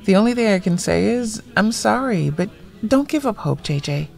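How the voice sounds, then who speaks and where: An actress playing a blunt, wry, loyal friend in a naturalistic audio drama, speaking casually. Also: female voice, medium pitch, expressive, normal speed, vocal fry, darkish tone, soft background music. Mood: gentle, sympathetic. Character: blunt, foul-mouthed, loyal woman friend, homebody.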